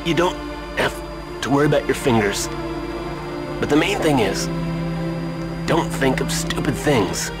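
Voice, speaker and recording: A man playing a badly wounded, dying man in a video game, speaking in weak, halting broken phrases. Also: mid-range voice, expressive, loud music behind the voice, lightly acted. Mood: weary, urgent. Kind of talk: video game cutscene acting.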